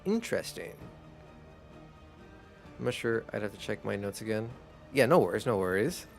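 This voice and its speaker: A man whose first language is Spanish, chatting casually as a streamer while gaming, in a rambling, relaxed way. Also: on the low side, moderate pace, creaky, faint music behind. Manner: rambling, relaxed, laughs easily.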